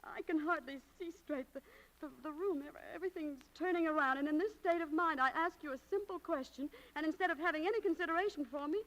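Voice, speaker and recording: High-voiced, frayed shopgirl. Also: fast talker, fairly bright tone, low-quality recording. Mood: overwrought. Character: frayed, proud young shopgirl, unwell and touchy. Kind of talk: 1940s radio acting. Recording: old 1940s radio broadcast recording.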